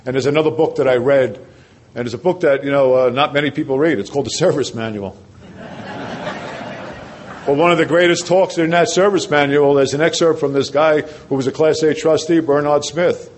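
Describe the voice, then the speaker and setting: A man's voice: a little fast, slight background noise. Older New man giving his testimony. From New Jersey. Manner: conversational and self-deprecating, drops his voice low and quiet for emphasis. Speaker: recovery speaker at a large convention. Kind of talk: personal testimony talk. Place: large convention hall.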